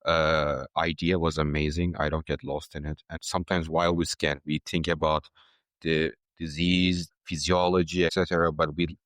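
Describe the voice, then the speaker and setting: A man from Turkey, a medical student with a deep voice. He speaks slowly and deliberately, pausing between words. His voice is recorded close and dry. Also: flat delivery, a bit bright, no room sound. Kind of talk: interview answers.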